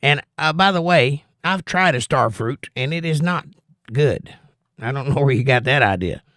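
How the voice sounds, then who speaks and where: A middle-aged man with a Southern Georgia accent he has smoothed down through public speaking. He sounds strained, but he chats in a relaxed way at a medium pitch, reading comments aloud and answering them. The sound is close and dry, with no room echo. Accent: softened Southern US (Georgia). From Georgia, USA. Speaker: livestream host reading chat. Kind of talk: casual livestream chat.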